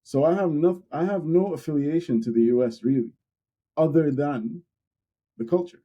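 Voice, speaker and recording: Young guy cohosting a podcast, super mellow dark voice, chill and candid, muffled lo-fi mic. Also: on the high side, moderate pace. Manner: relaxed, candid, repeats words while thinking. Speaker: podcast co-host. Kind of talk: casual podcast discussion.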